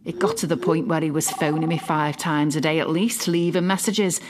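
Low-voiced, smugly possessive mother acted by a woman. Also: steady tone, moderate pace, heavy background noise, natural voice. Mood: smug, confiding. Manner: confiding, smug, mock-innocent. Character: possessive middle-aged mother, sly, smugly self-justifying, quietly malicious. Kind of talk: dramatic monologue, confiding fiction podcast narration.

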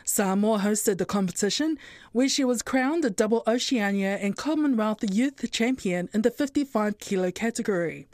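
A woman's voice: medium pitch, normal speed, a little mellow, no echo. A woman narrating a scripted radio news report.

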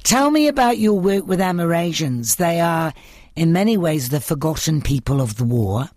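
A radio interviewer who reads a scripted introduction and asks questions in a measured, deliberate way. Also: medium pitch, expressive, darkish tone, no room sound, studio quality.

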